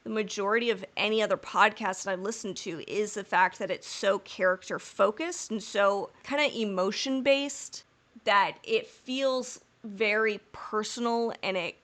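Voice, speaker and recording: A woman's voice: mid-range voice, measured pace, a bit bright. A woman co-hosting a podcast in casual chat. She talks in long, rambling, candid stretches, swears freely and laughs through her own jokes. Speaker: podcast co-host.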